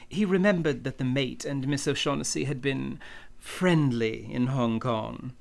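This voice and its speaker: An older gentleman villain of radio drama. His medium-pitched male voice has a creaky, gravelly grain. He speaks in ornate, formal courtesies with cunning underneath. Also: unhurried. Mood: matter-of-fact. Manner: florid, formal, elaborately polite. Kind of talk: old-time radio drama acting.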